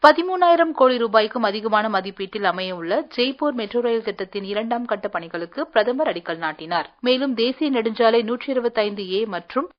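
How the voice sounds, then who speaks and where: Female bulletin reader, moderately high pitch, formal scripted delivery, slightly muffled lo-fi recording. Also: expressive. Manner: formal, measured reading. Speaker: news reader. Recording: dull lo-fi radio sound.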